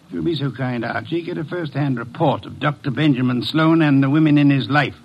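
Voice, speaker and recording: An actor playing a pompous, erudite detective in a classic radio drama, with aloof, precise diction. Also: male voice, medium pitch, fairly quick, vocal fry, dull audio, noisy background, lightly acted. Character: pompous, woman-scorning armchair private detective.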